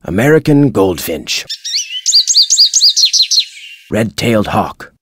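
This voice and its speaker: A man playing a crotchety, folksy birdwatcher in a video game, speaking like a deliberate local storyteller with a husky voice. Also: lowish voice, sing-song, background noise. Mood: plain, informative. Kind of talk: video game character dialogue.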